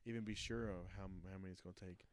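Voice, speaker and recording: A man's voice: on the low side, fairly even intonation, racing, no echo. A man from Memphis co-hosting a podcast, chatting casually and often restarting his sentences. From Memphis, Tennessee. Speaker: podcast co-host. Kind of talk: casual chat.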